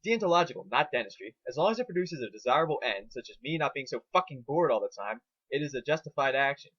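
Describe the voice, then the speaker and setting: A man's voice: mid-range voice, very animated, quick pace, a bit bright, slightly rough, lo-fi sound. A man voicing an irritable, foul-mouthed female pony scholar who rants with obsessive glee in an amateur dramatic reading. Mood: smug, scheming. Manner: ranting, gleefully obsessive.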